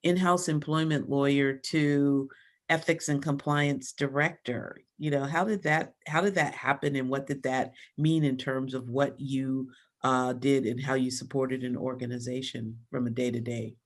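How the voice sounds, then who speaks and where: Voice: medium pitch, steady tone, moderate pace. Podcast host, interview questions, friendly, lots of fillers.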